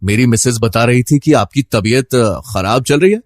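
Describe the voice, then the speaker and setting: A male actor as a gossipy neighbour and colleague in an audio story, with a mid-pitched voice. He is insinuating and sententious, confiding with small hesitations. The sound is very dry. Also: moderate pace, no room sound, lightly acted.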